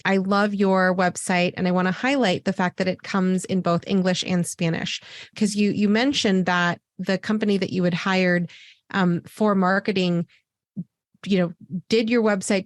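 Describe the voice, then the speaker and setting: A woman's voice: mid-range voice, steady tone, normal speed, dry recording, clean studio sound. A woman podcast host who affirms her guest and asks long, multi-part questions. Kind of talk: interview questions.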